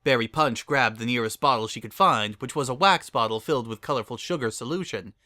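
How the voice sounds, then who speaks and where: A man's voice: medium pitch, a little fast, pushed and bright, dry recording, studio quality. A man narrating a story as a scripted dramatic reading.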